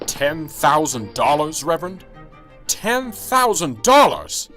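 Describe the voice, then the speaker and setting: A man playing a stressed, gruff police sergeant in a radio drama, blunt and sarcastic. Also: a little high, big pitch swings, slow pace, bright, forceful tone, faint music behind, heavily acted. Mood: angry.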